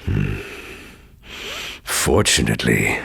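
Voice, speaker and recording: An actor voicing a huge, smug, calculating crime boss in a video game, gravelly and condescending. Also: male voice, extremely low pitch, expressive, exaggerated voice. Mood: smug menace. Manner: slow, deliberate, grandly condescending. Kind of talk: video game cutscene acting. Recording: music behind the voice.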